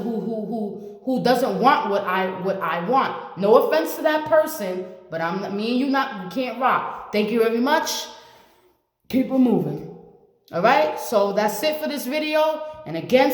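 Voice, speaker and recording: A woman in her late twenties giving blunt, repetitive advice to the camera as a vlogger. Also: a little high. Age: 20s. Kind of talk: motivational talk to camera. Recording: echoey room with long reverb.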